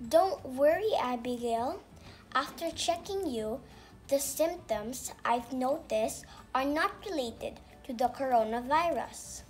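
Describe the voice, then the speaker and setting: A woman voicing a calm, reassuring doctor puppet, explaining carefully in a scripted children's educational show. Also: high-pitched, takes it slowly.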